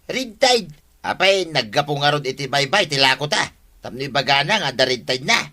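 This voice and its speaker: Sharp-voiced, cheeky scheming vendor. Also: male voice, fairly high pitch, sing-song, a little fast. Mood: brash, dismissive. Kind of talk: comedic radio drama acting.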